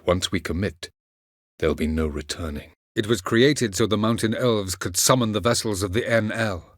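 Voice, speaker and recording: A male actor playing an aloof, ancient elven mage in a video game, speaking in a measured, condescending way with formal, archaic phrasing. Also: low voice, fairly bright tone, no echo, studio quality. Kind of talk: video game character acting.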